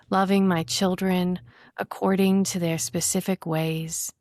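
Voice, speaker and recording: A woman's voice: lowish voice, creaky, darkish tone, no echo, studio quality. A woman speaking as a guest poet in a literary conversation about poems, sometimes reading verse aloud.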